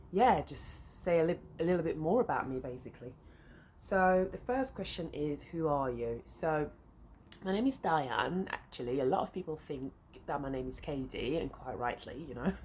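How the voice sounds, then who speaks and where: Low-voiced French-accented woman chatting. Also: expressive, normal speed, a little mellow. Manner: rambling, easily sidetracked, many fillers. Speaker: sewing vlogger. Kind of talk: casual chat to camera. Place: flat beside a busy road. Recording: faint traffic noise behind.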